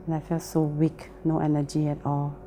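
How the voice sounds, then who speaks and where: A woman's voice: deep voice, completely flat delivery, normal speed, dark tone, a little hiss or hum. A woman with a Malaysian English accent giving a plain, earnest personal testimony. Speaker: testimony speaker.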